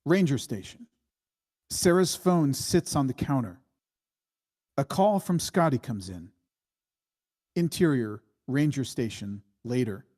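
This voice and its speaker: A man reading screenplay stage directions as scripted narration in a measured, matter-of-fact way. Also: medium pitch, lively intonation, dark tone.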